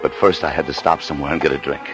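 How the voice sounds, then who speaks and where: A man's voice: very deep voice, little pitch movement, racing. An actor playing a nervous, stammering American husband on the run, confessing his story in a mid-century radio thriller. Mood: grim, tense. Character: panicky, down-on-his-luck husband on the run, narrating his crime. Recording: vintage radio recording with music behind.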